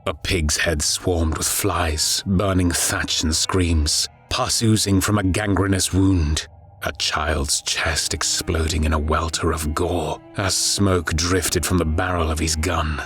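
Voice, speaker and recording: Low, even-toned male audiobook narrator. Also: slow pace, soft background music. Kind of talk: scripted dramatic horror narration.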